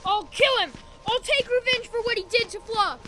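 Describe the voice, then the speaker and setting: A woman's voice: very high-pitched, moderate pace, background noise. A woman playing an earnest, emotional young adventurer in an amateur audio drama. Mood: vengeful.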